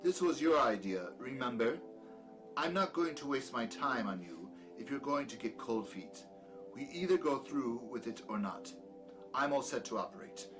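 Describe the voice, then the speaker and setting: A man's voice: medium pitch, expressive, moderate pace, lightly acted. A man playing a peculiar, condescending doctor with a dry, sardonic manner in an audio drama, secretly sinister. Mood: impatient, scornful. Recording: music behind the voice.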